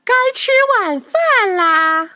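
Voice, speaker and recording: An excitable palace maid with an extremely high, piercing voice. She calls out in very slow, stretched words, as in a children's radio play. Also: soft background music, heavily acted. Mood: calling out. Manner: calling out, long drawn-out words. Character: shrill excitable palace maid, easily horrified. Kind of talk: children's audio drama acting.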